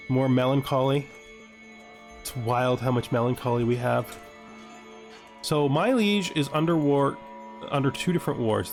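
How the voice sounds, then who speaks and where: A man giving casual let's-play commentary, thinking aloud and wryly addressing the game's characters. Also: fairly high pitch. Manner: wry, talks to game characters. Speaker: gaming video host.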